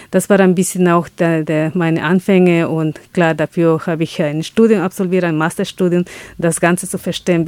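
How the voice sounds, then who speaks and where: A woman's voice: lowish voice, darkish tone, no room sound. A woman from Ecuador with an Ecuadorian Spanish accent and Quechua as her first language, answering interview questions as she searches for words and often restarts her sentences. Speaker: guest, chocolate business founder.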